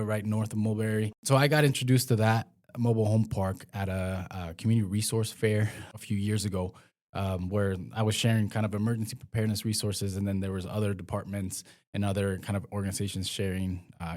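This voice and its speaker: Low-voiced man with a halting delivery. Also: a little mellow. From Mexico. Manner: hesitant, many fillers and restarts. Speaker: community health worker and former pro soccer player. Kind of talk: casual interview conversation.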